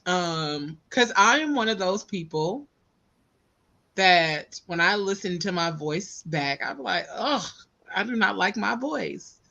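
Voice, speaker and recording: Bright Southern woman co-host. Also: mid-range voice, expressive, takes it slowly, gravelly. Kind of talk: casual podcast chat.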